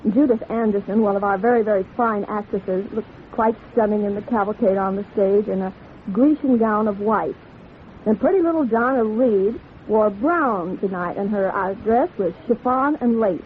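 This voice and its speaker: Gushing 1940s radio woman, thin vintage sound. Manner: gushing, admiring. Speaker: radio correspondent describing guests' fashions. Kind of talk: 1940s live radio society commentary. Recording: vintage radio broadcast, narrow band, hissy rumble.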